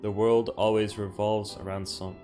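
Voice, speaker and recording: A man's voice: fairly low pitch, little pitch movement, moderate pace, mellow. A man streaming a game, reading its story text aloud in an informal way. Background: game music.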